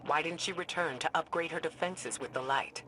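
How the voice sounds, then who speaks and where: An actor playing a grave commanding mentor. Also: male voice, fairly high pitch, moderate pace, background noise, natural voice. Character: stern commanding mentor and leader. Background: music.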